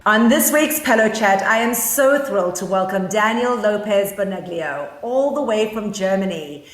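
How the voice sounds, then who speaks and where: A woman's voice: mid-range voice, expressive, normal speed, reverberant, faint music behind. Enthusiastic South African woman host. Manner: enthusiastic, frequent fillers and restarts. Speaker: podcast co-host and interviewer. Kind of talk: casual chat.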